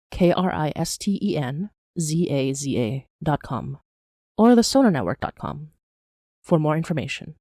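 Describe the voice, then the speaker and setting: A podcast announcer reading a scripted promo in a measured narration style. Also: mid-range voice, warm tone, no room sound, clean studio sound.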